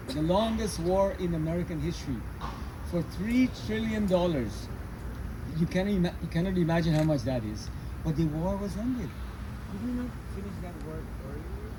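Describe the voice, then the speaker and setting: A man from Guyana giving an informal speech of thanks in deliberate, broken phrases with pauses. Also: high voice. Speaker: speaker giving a vote of thanks. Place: live ceremony at a statue. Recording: live event with vehicle noise.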